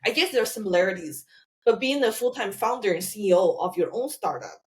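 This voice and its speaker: A woman's voice: mid-range voice, expressive, fairly quick. A woman hosting a podcast, asking friendly, encouraging questions with some fillers. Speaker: podcast host. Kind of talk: interview questions.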